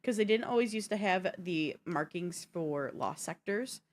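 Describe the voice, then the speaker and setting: A woman's voice: fairly low pitch, animated, unhurried. A woman co-hosting a gaming show, bantering casually in a playful, joking and exclamatory way. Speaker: co-host of a gaming news show. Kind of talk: casual banter about video games.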